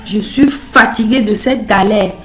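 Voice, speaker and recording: A woman's voice: mid-range voice, measured pace, fairly bright tone, lightly acted. A woman voicing a despairing, fed-up wife in a cartoon, complaining plaintively and repeating herself. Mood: exasperated. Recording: roomy echo, music underneath.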